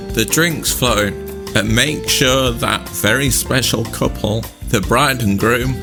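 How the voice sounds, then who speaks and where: Spoof radio ad: male actor as chummy small-town toastmaster, unhurried salesman's patter, gravelly, guitar backing. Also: mid-range voice. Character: chummy small-town wedding toastmaster plugging his services. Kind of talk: spoof local radio advert in audio drama. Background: plucked guitar music.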